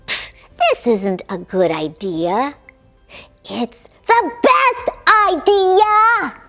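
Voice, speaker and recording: Spirited young female aviator played by a woman reader, boastful and cheeky, high-pitched, unhurried storybook delivery over music. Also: lively intonation, a bit bright, full character voice. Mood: triumphant. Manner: exuberant, cheeky, dramatic asides. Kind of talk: children's storybook read-aloud.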